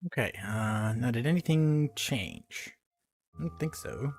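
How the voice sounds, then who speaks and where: A man hosting a let's play, giving casual game commentary in a halting, musing, joking way. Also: mid-range voice, lively intonation.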